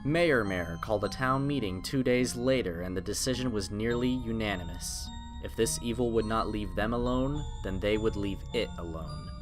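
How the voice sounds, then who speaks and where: A man's voice: fairly low pitch, moderate pace, hardly any pauses. A man reading a horror story as a scripted dramatic narration, solemn and suspenseful, drawing out key phrases. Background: music.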